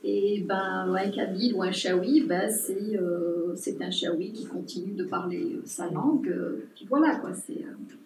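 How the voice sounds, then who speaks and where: A woman anthropologist speaking at a public talk, pausing often with fillers and restarts. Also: medium pitch, vocal fry, a little mellow, slight background noise. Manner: hesitant, many fillers and restarts. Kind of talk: unscripted talk to an audience. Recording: roomy distant mic with faint ambience.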